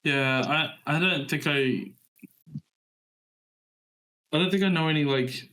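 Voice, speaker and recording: Young guy co-host, slightly high, bright voice, rambles with restarts. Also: slow, low-quality recording. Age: 20s. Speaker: podcast co-host. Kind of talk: casual chat.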